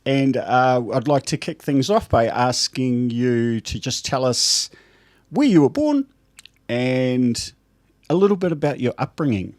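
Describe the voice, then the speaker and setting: Easygoing male podcast host, unhurried delivery with an animated pitch. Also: no room sound, clean studio sound. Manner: relaxed, unhurried, mixes in Māori greetings. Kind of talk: casual interview.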